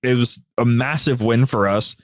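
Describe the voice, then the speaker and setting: A man's voice: medium pitch, moderate pace, a little hiss or hum. A man chatting casually as a podcast guest, telling stories in a rambling, emphatic way. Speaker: podcast guest, co-host of a gaming media group. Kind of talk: casual chat, storytelling.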